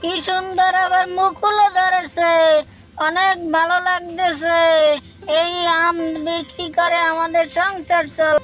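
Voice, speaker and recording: A woman's voice: very high voice, level tone, unhurried, fairly bright tone. A woman voicing quarreling female jackals in a cartoon, in a chiding manner. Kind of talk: cartoon voice acting. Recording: light background music.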